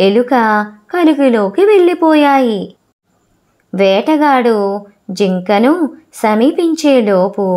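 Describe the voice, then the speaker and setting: A woman storyteller narrating a scripted children's moral fable in short, deliberate, measured phrases. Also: a little high.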